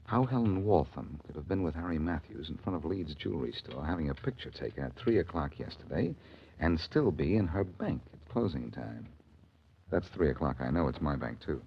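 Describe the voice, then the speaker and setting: Smooth confident detective, very deep voice, lively delivery, wisecracking, 1940s radio drama, muffled old recording. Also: male voice, brisk. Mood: musing. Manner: dry, wisecracking. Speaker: lead detective. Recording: dull 1940s radio recording, faint hiss.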